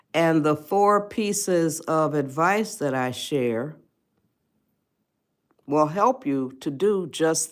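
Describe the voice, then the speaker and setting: A woman in her eighties hosting a casual livestream, chatting slowly and greeting viewers by name. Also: low-pitched. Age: 80s. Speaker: livestream host. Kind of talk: casual livestream advice talk.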